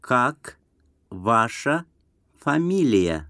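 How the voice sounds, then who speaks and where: A man reads short lesson phrases at a very slow pace, pausing between words before repeating each one whole. His pitch moves expressively, and the recording is dry and close. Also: sing-song, fairly bright tone, no room sound. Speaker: language lesson speaker. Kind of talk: slow, careful phrase reading with repetition.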